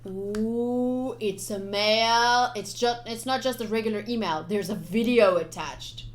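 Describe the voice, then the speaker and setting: A woman streamer playfully reading visual novel text aloud, with casual asides in an expressive delivery. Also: on the high side, a little slow, slight background noise. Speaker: game streamer. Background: game music.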